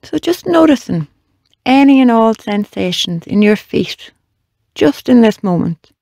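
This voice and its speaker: A meditation guide leading a body scan in a slow, measured, gently instructing way with long pauses. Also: mid-range voice, expressive, gravelly, no room sound, studio quality.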